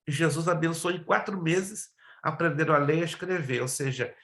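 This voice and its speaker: A Brazilian man, native in Spanish, teaches a class in the manner of a pastor. His voice sits fairly high for a man and carries a roomy echo. He is emphatic, repeating key phrases and pressing listeners with direct questions. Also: brisk, fairly bright tone. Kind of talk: preacherly lesson with personal testimony. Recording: roomy, echoing sound.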